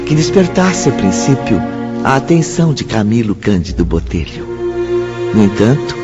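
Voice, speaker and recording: A Brazilian man narrating a radio drama in a solemn, literary style with deliberate phrasing. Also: lowish voice. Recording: muffled, loud music behind.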